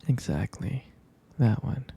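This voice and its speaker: A man playing a jealous, protective, devoted boyfriend in an intimate ASMR roleplay, speaking softly and haltingly in a breathy, husky whisper. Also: mid-range voice, expressive, full character voice. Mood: tender, nostalgic. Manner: soft, hesitant, halting delivery.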